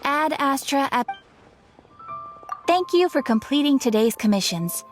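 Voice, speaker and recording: A courteous guild receptionist in a video game. The voice is high and lively in pitch, polite and formal, with music clearly audible behind it. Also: female voice, a little slow. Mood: polite, welcoming. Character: courteous female guild receptionist handing out rewards. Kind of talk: video game character acting. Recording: clear background music under the voice.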